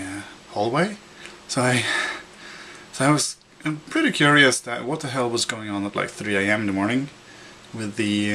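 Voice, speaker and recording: A man's voice: on the low side, sharp tone, a little hiss or hum. A young man from Norway with a Norwegian accent, telling a rambling story in a casual vlog, full of fillers, restarts and chuckles. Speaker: vlogger.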